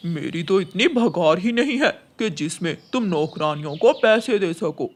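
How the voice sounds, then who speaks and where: Woman doing a sneaky crow bad guy for a kids cartoon, talks slow, sly and deceitful. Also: fairly low pitch, expressive. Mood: grumbling. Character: scheming, deceitful male crow villain. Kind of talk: children's cartoon acting.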